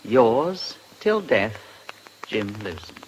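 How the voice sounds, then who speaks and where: An actor playing a smug, jaunty English blackmailer in a radio drama, reading out a letter in a breezy, insinuating way. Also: male voice, fairly low pitch, very animated, drawn-out words, slight background noise, heavily acted, rough recording. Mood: smug, menacing. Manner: breezy, insinuating, drawling hesitations.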